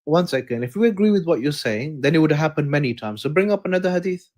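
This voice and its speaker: A man's voice: a little high, animated, quick pace, a little mellow. A man calling into a live-stream debate, defensive and restarting his sentences often. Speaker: caller.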